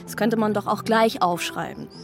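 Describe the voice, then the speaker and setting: A woman's voice: mid-range voice, fairly even intonation, normal speed, over music, natural voice. An actress playing a scatterbrained, self-justifying woman in a naturalistic radio drama monologue, rambling and questioning herself as she goes. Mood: flustered, puzzled.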